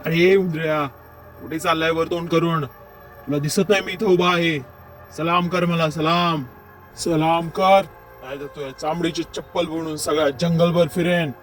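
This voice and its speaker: Man playing a high-voiced arrogant bully wolf. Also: flat delivery, moderate pace, faint music behind, lightly acted. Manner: boastful, commanding, threatening. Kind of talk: children's cartoon story acting.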